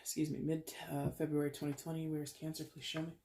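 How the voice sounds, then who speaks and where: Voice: medium pitch, completely flat delivery, fast talker, dark tone, a little hiss or hum. A tarot reader with a head cold, congested and with a dry throat, chatting casually to camera with rambling self-corrections. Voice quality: dry throat, clears it often.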